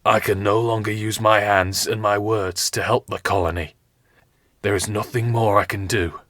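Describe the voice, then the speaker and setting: A man voicing a gravely injured colonial captain who speaks in a strained, hoarse voice, giving slow, weighty pronouncements. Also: fairly low pitch, fairly even intonation, a bit bright, no echo, studio quality.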